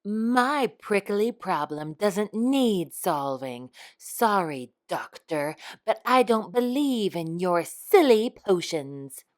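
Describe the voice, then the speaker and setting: A woman's voice: mid-range voice, sing-song, takes it slowly, hardly any pauses. A woman voicing a rude, grumpy male cactus who scoffs and insults in a storybook read-aloud. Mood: scornful.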